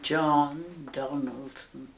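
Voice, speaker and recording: Very old Northern Irish woman, slow speech. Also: sits low, steady tone, vocal fry, roomy sound. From Northern Ireland. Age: over 100. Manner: slow, halting, with soft laughs. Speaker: interviewee, elderly nun. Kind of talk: oral history interview.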